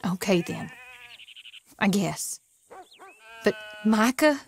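An actress playing a blunt but loving frontier wife with a rural American accent in a video game cutscene. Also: female voice, medium pitch, expressive, extremely slow, slight background noise. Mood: reproachful, firm.

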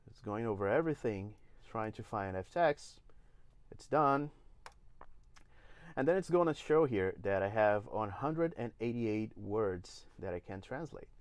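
A man in his forties from Brazil, speaking English with a Brazilian Portuguese accent, walking through a software demo step by step with many "uh"s and restarts. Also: fairly low pitch, lively intonation, measured pace, smooth, no echo. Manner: explains step by step with frequent "uh" and restarts. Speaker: engine evangelist giving a demo. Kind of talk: live software tutorial. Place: indoor studio.

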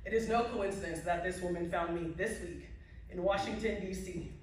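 A woman's voice: on the low side, normal speed, darkish tone. A woman speaks from a podium in a big hall, accepting an award in a formal, emphatic way and driving home her points by repeating them. She is heard from far back in the audience, with heavy echo and a faint hum of the room. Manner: emphatic, repetitive rhetorical build. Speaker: award recipient giving acceptance speech. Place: large indoor hall at a podium, to a seated audience. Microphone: podium mic, heard from a phone in the audience.

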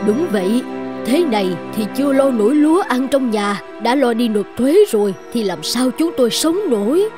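A woman voicing a worried, plain-spoken villager in an animated folk tale, with loud music behind her. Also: fairly high pitch, moderate pace, close to an everyday voice.

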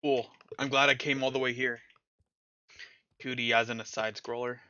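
A man's voice: medium pitch, level tone, moderate pace, sharp tone, no room sound, highs cut off. A man streaming a game, chatting casually and mocking himself, with a slight stutter at times. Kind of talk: casual chat while playing a game.

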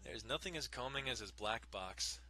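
A man's voice: medium pitch, animated, moderate pace, pushed and bright. A man giving a dramatic scripted narration of a visual novel. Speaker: narrator doing dramatic readings.

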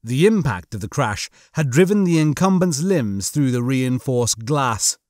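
A man's voice: mid-range voice, lively intonation, unhurried, darkish tone, no room sound, studio quality. A man reading a science fiction audiobook in a theatrical, suspenseful way. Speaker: audiobook narrator. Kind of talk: dramatic scripted narration of comic science fiction.

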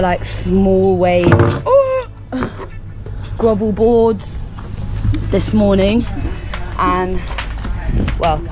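A woman's voice: fairly low pitch, rough recording. A young woman vlogging casually on a boat at sea, speaking into an action camera at arm's length, often laughing. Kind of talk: casual vlog narration. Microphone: action camera held at arm's length.

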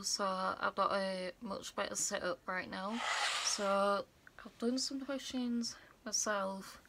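A woman of twenty-one chatting casually to a phone camera in her bedroom as a vlogger, relaxed with many fillers. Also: mid-range voice. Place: small bedroom indoors.

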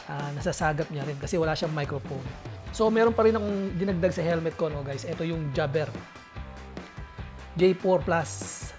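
Motovlogger, male, Filipino accent, higher-than-usual voice, casual chat over clear background music.

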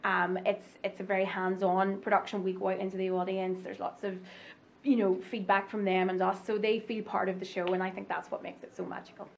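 A woman's voice: medium pitch, level tone, a little fast, vocal fry, a little hiss or hum. A woman in her thirties, a pantomime actress, talking enthusiastically in a backstage interview with some ums. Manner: warm, enthusiastic, with fillers. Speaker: actress playing the fairy godmother. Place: dressing room.

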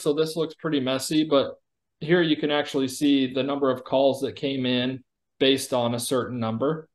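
A man walks through a software product in a casual, conversational way. He explains features informally, as a company executive would in a demo on a video call. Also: mid-range voice.

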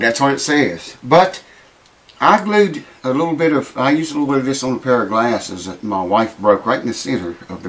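A man in his thirties with a drawl, his voice raspy and worn thin. He chats loosely and folksily, swearing now and then, as he talks through a repair in a small cluttered workshop. His pitch sits in the middle range, heard through a camera mic a few feet off. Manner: rambling, folksy, swears casually. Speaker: guitar repair vlogger. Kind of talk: casual workshop chat to camera. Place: small cluttered home workshop with mounted animal heads, indoors.

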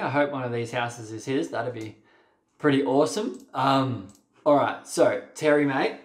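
Encouraging young coach, roomy echo. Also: male voice, mid-range voice. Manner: direct, encouraging, uses "mate", frequent "um" and "sort of". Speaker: waterski coach. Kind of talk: video coaching commentary. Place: small room with a plain wall.

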